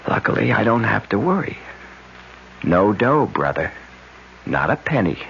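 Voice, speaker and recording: An actor playing a firm, scornful family attorney in a 1970s radio drama. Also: male voice, lowish voice, big pitch swings, unhurried, creaky, fairly bright tone, slight background noise, heavily acted. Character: stern protective family attorney, middle-aged. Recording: muffled 1970s radio broadcast.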